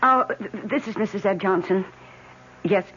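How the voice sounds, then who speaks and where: An actress playing an emotional, lonely wife, no longer young, pleading haltingly and intensely in a 1970s radio drama. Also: female voice, mid-range voice, natural voice. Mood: overjoyed, amazed. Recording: dull, noisy old radio recording.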